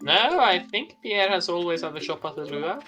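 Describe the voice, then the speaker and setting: A man chatting casually with friends during a game, explaining things in a measured way. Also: high voice, sing-song, sharp tone, soft background music. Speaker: co-player in a gaming stream. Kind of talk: casual gaming chat.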